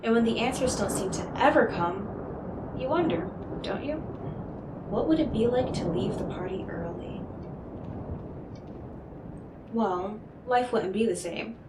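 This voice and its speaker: A woman playing an enigmatic, smooth-talking otherworldly stranger in an audio roleplay, speaking in a coaxing, knowing, theatrical way with dramatic pauses. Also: fairly high pitch, expressive, lightly acted. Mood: wry, pressing. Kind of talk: scripted audio roleplay monologue addressed to the listener. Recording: roomy echo, clearly noisy background.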